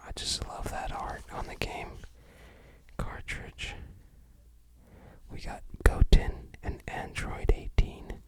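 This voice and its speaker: A person whispering in a casual ASMR style, musing aloud softly in a breathy, unvoiced voice. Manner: soft, unhurried, musing aloud. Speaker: ASMR video creator. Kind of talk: whispered ASMR, casual unboxing and game commentary.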